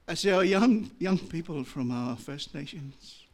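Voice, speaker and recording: A man with an Australian accent preaching a sermon as a pastor, moving from low, measured talk to loud, impassioned preaching. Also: animated.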